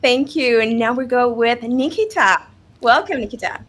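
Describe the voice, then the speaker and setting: A woman's voice: fairly high pitch, moderate pace, a bit bright. A woman hosting a live student panel, upbeat and encouraging, sometimes restarting her sentences. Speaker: livestream host.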